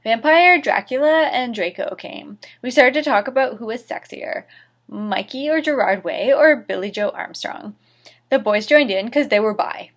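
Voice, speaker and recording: A woman's voice: a little high, moderate pace. A woman reading fan fiction dramatically as host, with a sarcastic, theatrical manner and mocking asides. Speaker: host and reader of a series.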